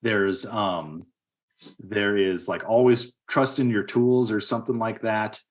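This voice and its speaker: A man hosting a podcast, discussing films casually in a musing, halting way. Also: lowish voice, fairly even intonation. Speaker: podcast host.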